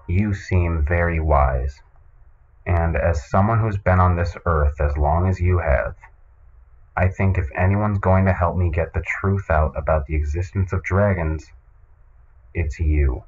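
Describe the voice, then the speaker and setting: Very deep-voiced, deadpan man hosting a podcast. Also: measured pace, dull audio, a little hiss or hum. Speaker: podcast host. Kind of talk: casual comedic podcast talk. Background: music.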